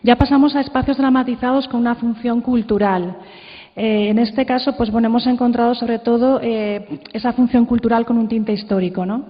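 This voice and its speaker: Female conference presenter, informal and conversational, mid-pitched, slightly muffled in a big hall. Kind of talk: informal talk presenting audio clips. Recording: echoey hall, muffled sound.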